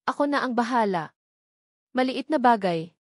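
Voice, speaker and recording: A woman with a Filipino accent voicing a doting, gently teasing male boss in an audiobook romance. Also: on the high side, lively intonation, moderate pace, no room sound, clean studio sound. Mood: tender.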